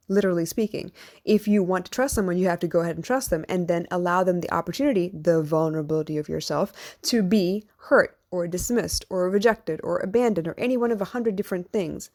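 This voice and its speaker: A woman in her thirties hosting a solo podcast, speaking in a deep, husky voice with a reflective, earnest, conversational manner. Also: a little mellow. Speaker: podcast host and relationship coach.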